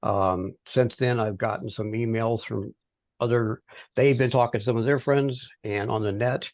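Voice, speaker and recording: Middle-aged man, gravelly fry, hesitant mid-phrase pauses, informal progress report, muffled dry call audio. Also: mid-range voice, fairly even intonation, no echo. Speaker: amateur radio project volunteer. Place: remote teleconference call. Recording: dull, band-limited teleconference audio.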